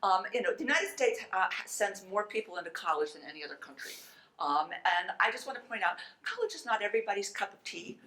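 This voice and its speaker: A woman speaking as a panelist in a measured, halting way as she explains a point. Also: mid-range voice, sing-song, sharp tone. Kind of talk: explanatory remarks at a panel discussion.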